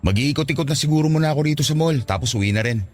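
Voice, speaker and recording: A man's voice: fairly high pitch, racing, lightly acted. An actor playing a friendly, easygoing young male co-worker with a Filipino accent in a radio drama. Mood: casual.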